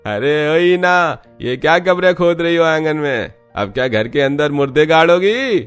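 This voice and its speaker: A man's voice: on the high side, expressive, moderate pace, clear, resonant tone, faint music behind, lightly acted. A man playing a scornful, taunting village husband in a cartoon. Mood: mocking. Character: jeering drunken village husband mocking women.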